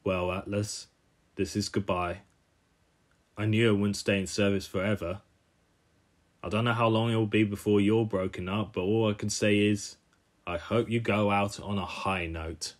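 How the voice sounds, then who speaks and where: Man as old cartoon engine, sad, resigned, gentle, lowered pitch. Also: steady tone, moderate pace, close to an everyday voice. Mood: wistful.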